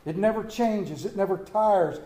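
A man preaching a Mother's Day sermon, folksy and storytelling, with dramatic slow pauses on quoted lines. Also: sits high, dark tone, slight background noise. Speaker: pastor. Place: church sanctuary. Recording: echoey church room.

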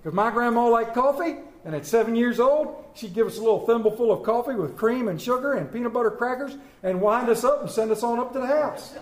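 A man preaching a Mother's Day sermon, folksy and storytelling, with dramatic slow pauses on quoted lines. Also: extremely high pitch, expressive. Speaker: pastor. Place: church sanctuary. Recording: echoey church room.

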